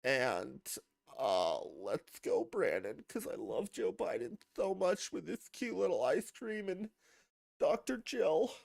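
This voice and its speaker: Casting note: man playing a smug, simpering caricature guy, high mincing sing-song, swoops around. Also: very animated, takes it slowly, creaky, a little mellow, heavily acted. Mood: mocking.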